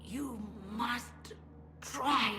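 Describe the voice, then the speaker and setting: A woman voicing a dying, desperate mage in a video game, speaking in halting, laboured phrases. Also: a little high, steady tone, background noise. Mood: desperate pleading. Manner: slow, halting, laboured delivery. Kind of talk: dramatic video game acting. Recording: loud background music.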